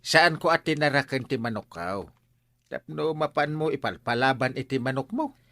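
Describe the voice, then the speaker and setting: An actor playing an animated, blustery village buddy with an Ilocano accent, sputtering and exclaiming in a radio comedy. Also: male voice, medium pitch, normal speed, dry recording, clean studio sound, close to an everyday voice. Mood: scolding. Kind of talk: radio comedy drama acting.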